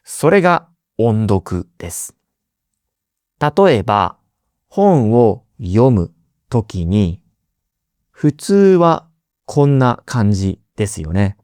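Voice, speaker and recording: Slow, mellow-voiced male language teacher. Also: fairly low pitch, big pitch swings, no room sound, studio quality. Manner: deliberate, repeats key words, friendly questions to listeners. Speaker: Japanese teacher, podcast host. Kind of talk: slow, simple explanation for learners.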